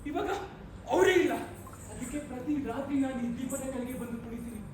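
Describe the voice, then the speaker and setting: In a very echoey hall with slight noise, a man plays a haunted outcast dancer in a stage play. He declaims bitterly in a high-pitched voice, stretching words with grief and passion. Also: expressive. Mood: mournful, resigned. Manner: theatrical, impassioned, drawn-out delivery. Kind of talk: declamatory amateur stage acting. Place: indoor stage, live play.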